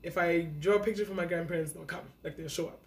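A young woman from South Africa, a podcast guest, telling a personal story in a casual, animated way with lots of fillers. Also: on the low side, quick pace, soft background music. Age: young adult. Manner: animated, acts out her younger self.

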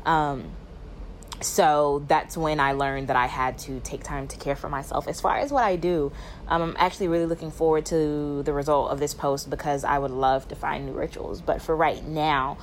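A woman's voice: low-pitched, fairly even intonation, moderate pace, slight background noise. A nineteen-year-old woman from Atlanta, a young mother, speaking thoughtfully in a voice note. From Atlanta, Georgia. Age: young adult. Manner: thoughtful, plain-spoken. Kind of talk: personal voice memo.

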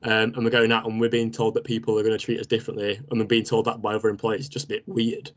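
A man's voice: lowish voice, completely flat delivery, very fast. A young man in his twenties with a Manchester accent, chatting and joking with a friend as podcast co-host. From northern England. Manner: chatty and joking, laughs often. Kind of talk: casual chat between friends.

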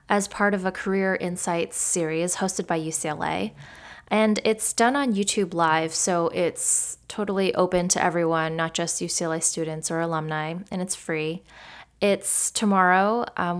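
A woman hosting an advertising career podcast, a little under the weather, chatty and upbeat. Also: lowish voice. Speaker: podcast host. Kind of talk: podcast interview.

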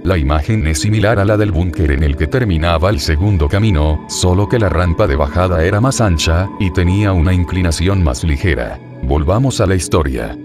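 A man's voice: low-pitched, fairly quick, few pauses. A man reading a scripted first-person horror story as its narrator. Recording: music bed under the voice. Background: background music.

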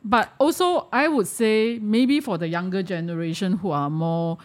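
A woman with a Malaysian accent in casual discussion, speaking haltingly and often checking in with the listener. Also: medium pitch. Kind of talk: casual discussion of social issues.

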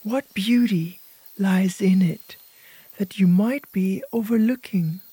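Soft breathy lady doing guided meditation, really slow n gentle, mellow tone, close dry sound. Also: mid-range voice, no echo. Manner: gentle, hushed, pausing between short phrases. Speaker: host of a guided imagery podcast.

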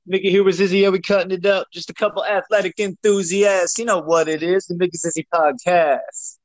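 A man's voice: high voice, steady tone, brisk, no echo. A man co-hosting a casual sports podcast, playful and jokey, with a hype delivery. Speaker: podcast co-host.

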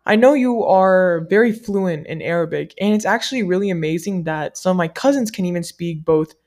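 A woman from the US, a native Malayalam speaker, asking interview questions in a polite, measured, rehearsed way. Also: lowish voice, expressive, darkish tone. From United States. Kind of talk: scripted-sounding interview questions.

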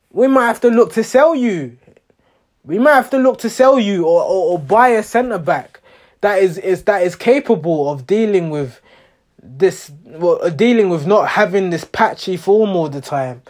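A man with a hoarse voice recapping a football match as a passionate, exasperated fan, often repeating himself. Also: fairly high pitch, a little mellow.

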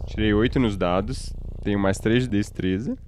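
A man's voice: lowish voice, moderate pace, darkish tone, slight background noise. A man with a Brazilian accent playing in a tabletop game, reading out his dice rolls matter-of-factly. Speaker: player. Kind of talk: casual tabletop roleplay.

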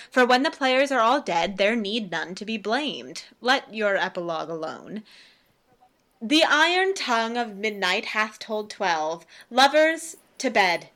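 A woman playing a gracious, wry and authoritative noble ruler, measured and declamatory in a Shakespearean radio play. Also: medium pitch, sharp tone. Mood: stately.

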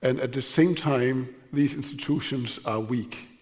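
A man, a podcast host, speaking English in a measured way after a few hesitant starts. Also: medium pitch, a little mellow, long reverb tail. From Denmark. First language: Danish. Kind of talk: conversational podcast talk.

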